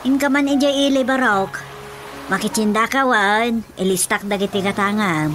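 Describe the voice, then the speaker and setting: A woman's voice: mid-range voice, normal speed, natural voice. A woman acting a practical, motherly aunt in a radio comedy drama. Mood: instructing. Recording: loud background music.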